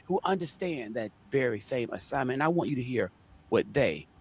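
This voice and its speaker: A man's voice: mid-range voice, sing-song, a little fast, a little hiss or hum. A man narrating a video report in an earnest tone.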